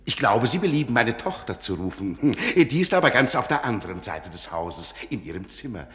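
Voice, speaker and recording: An actor playing a courtly, eccentric older archivist and magician in a German fairy-tale radio play, ceremonious and slyly amused. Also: male voice, mid-range voice, very animated, brisk, a bit bright, echoey room. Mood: politely instructing.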